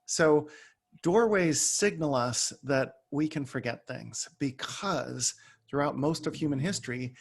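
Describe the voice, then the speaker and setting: A man with a noticeably creaky voice speaks as an expert guest on a podcast. He explains in a conversational way, sometimes acting out small everyday examples. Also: on the high side, unhurried.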